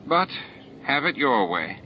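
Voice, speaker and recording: A man's voice: medium pitch, very animated, slow pace, soft background music, voice pushed up. A man voicing a scheming, sneering male alien rebel in an audiobook. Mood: grudging. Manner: goading, sneering. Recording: dull lo-fi audiobook tape.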